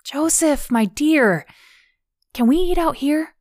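A woman's voice: lowish voice, lively intonation, measured pace, mellow, no room sound, studio quality. A woman voicing an emotional, playful young woman of nineteen in an audiobook. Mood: bright, playful. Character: emotional, playful nineteen-year-old woman, girlish for her age.

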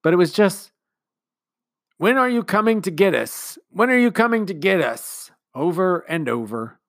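A man's voice: sits high, animated, moderate pace, fairly bright tone, no room sound, clean studio sound, pitched above the performer's own voice. A man voicing a self-assured little girl who speaks plainly and bluntly. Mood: matter-of-fact.

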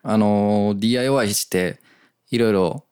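A man's voice: on the low side, fast, darkish tone, clear, resonant tone. A man with a Japanese accent, a native Japanese speaker, co-hosting a casual bilingual podcast. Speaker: podcast co-host. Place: small makeshift studio behind a cafe.

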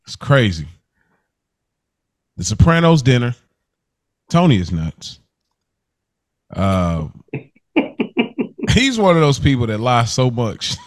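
A man co-hosting a podcast, chatting casually in an amused, slangy way. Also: mid-range voice. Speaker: podcast co-host reviewing a TV series. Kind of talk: casual chat.

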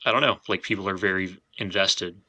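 A man's voice: deep voice, brisk, bright, forceful tone. A man hosting a podcast, talking casually and feeling out his phrasing as he goes. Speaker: podcast host.

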